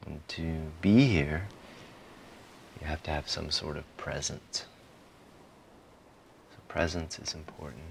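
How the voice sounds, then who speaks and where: A man guiding a meditation in a calm, soothing manner, giving soft instructions in short phrases with frequent pauses. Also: a bass voice, gravelly, slight background noise. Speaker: meditation guide. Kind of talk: guided meditation, softly spoken instruction.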